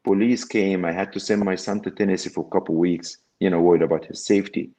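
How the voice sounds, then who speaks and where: A man's voice: low voice, steady tone, quick pace, dark tone. A middle-aged man from Egypt, telling his story as an interview guest in an emphatic way that repeats phrases and checks in with "okay?".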